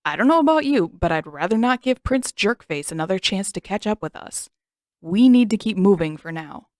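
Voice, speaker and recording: A mocking, bossy teenage boy, as a woman reader puts him on in a storybook reading. He talks bluntly and dismissively, set a touch lower and louder than the narration. The recording is dry and close. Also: animated, moderate pace, no room sound, close to an everyday voice. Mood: sarcastic. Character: teenage boy, sarcastic, bossy older brother.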